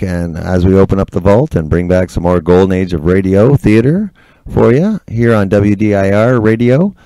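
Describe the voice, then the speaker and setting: A man's voice: low voice, warm tone, no room sound. An American man casually hosting a radio show.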